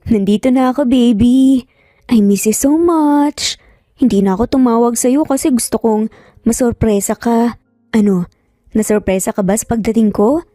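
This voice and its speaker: Woman acting a haughty, pouty, high-pitched young rival. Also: normal speed, dark tone, no echo, studio quality, faint music behind, lightly acted. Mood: cooing. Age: young adult. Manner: affected, pouty, taunting.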